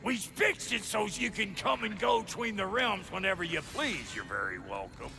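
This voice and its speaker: A man's voice: high voice, very animated, moderate pace, creaky, music in the background, heavily acted. A man playing a grumpy, cocky dwarf blacksmith in a video game, brash and crude with a folksy turn of phrase. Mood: defensive, proud. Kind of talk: video game character acting.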